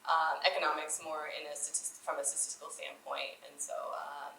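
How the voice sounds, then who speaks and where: A woman's voice: sits low, flat delivery, fairly quick, creaky, bright, forceful tone, slight background noise. A woman author talking casually in an onstage interview, chatty, with lots of fillers and restarts. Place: festival event room. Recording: echoey room.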